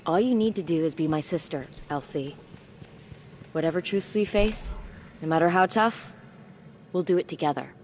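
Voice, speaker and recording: A woman's voice: fairly low pitch, normal speed, pauses often, darkish tone, a little hiss or hum, close to an everyday voice. An actress playing a plucky, loyal woman adventurer in a video game, with a wry, sincere and direct manner. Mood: warm, wry. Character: plucky, loyal younger sister, defiant human adventurer. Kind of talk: dramatic video game acting.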